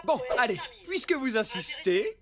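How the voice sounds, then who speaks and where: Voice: mid-range voice, sing-song, normal speed, over a noisy crowd, close to an everyday voice. An actor voicing a boastful, clumsy cartoon animal who tinkers with gadgets, in a showy, self-satisfied manner. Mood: showing off. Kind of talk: French cartoon dubbing. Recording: loud music behind the voice.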